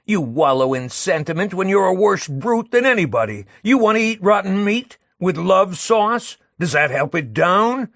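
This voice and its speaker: Bitter disgusted guy ranting and shouting, voice leaping all over, as a man reads him. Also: high-pitched, sing-song, moderate pace, hardly any pauses, no room sound, clean studio sound, heavily acted.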